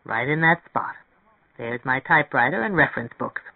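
A man reading a story, playing a cultured, opinionated middle-aged music connoisseur with a measured, knowing manner. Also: medium pitch, expressive, vocal fry, dry recording, narrow, tinny audio. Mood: obliging.

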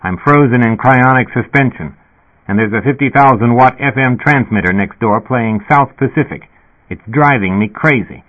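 A man's voice: medium pitch, moderate pace, phone-quality sound, close to an everyday voice. A man voicing a deluded fugitive in an audiobook, earnest, dazed and plaintive. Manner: earnest, plaintive, matter-of-factly irrational. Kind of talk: audiobook character voice.

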